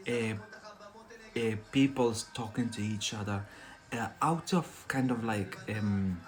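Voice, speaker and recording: This is a young man from Algeria, whose first language is Algerian Arabic, speaking English as he hosts a language live stream. He talks in a relaxed, rambling way, reaches for fillers often, and laughs along with his viewers. He speaks at a middle pitch for a man, straight into a desk microphone. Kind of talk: casual live-stream teaching chat.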